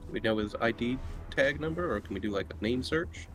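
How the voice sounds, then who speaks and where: A man's voice: mid-range voice, expressive, a little fast, fairly bright tone. A man playing a tabletop roleplaying game, talking casually with the group.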